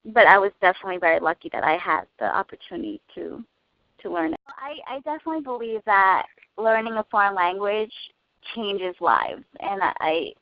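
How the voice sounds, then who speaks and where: A young woman who grew up speaking Cantonese, talking in a casual interview in an earnest, thoughtful way with frequent pauses to find her words. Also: mid-range voice, expressive, no room sound. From United States. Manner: earnest, thoughtful, many hesitations. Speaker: interview guest. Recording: dull lo-fi dry recording.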